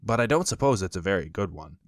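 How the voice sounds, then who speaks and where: A man voicing a gentle, earnest teddy bear who talks in a hesitant, fumbling way. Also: low-pitched, expressive, fast talker, dry recording, clean studio sound.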